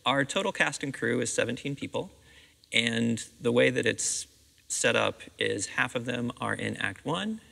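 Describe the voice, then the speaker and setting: Middle-aged American man, interviewed director, very creaky voice, recorded in a reverberant auditorium. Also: mid-range voice, animated, unhurried, bright, forceful tone. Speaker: school theatre director being interviewed. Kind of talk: conversational interview answers. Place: seated on the stage of a theatre auditorium. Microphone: clip-on lapel microphone.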